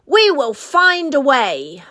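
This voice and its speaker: A brave little girl penguin from a storybook, voiced by a woman. She calls out boldly and eagerly in a high, bouncy voice, urging her friend on. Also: wildly swooping pitch, slow pace, sharp tone, dry recording, studio quality, heavily acted. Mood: encouraging. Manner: bold, urgent, encouraging calls. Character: brave, eager young female penguin explorer. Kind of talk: children's storybook character voice.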